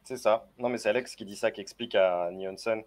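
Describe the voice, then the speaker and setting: A man's voice: fairly low pitch, fairly even intonation, brisk. A man on a card game panel, chatting casually and handing down confident verdicts. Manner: confident, sums up verdicts plainly. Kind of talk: casual strategy discussion.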